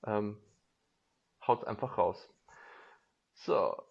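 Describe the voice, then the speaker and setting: A man's voice: lowish voice, extremely slow, gravelly, dull audio. A man with a German accent, hosting a casual livestream interview, chatty and laughing often with many fillers. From half German, half Japanese. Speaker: label host. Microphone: phone livestream.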